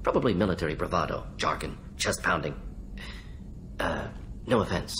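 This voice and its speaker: Game scientist, alien: low register, brisk, clinical, telegraphic delivery. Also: male voice, expressive, a bit bright, slight background noise. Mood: brisk, matter-of-fact. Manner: clipped telegraphic phrasing. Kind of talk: video game character dialogue.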